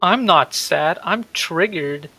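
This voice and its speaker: A man's voice: a little high, expressive, slow, noisy background. A young man doing casual gameplay commentary, reacting with incredulous, blunt exclamations. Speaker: video game streamer.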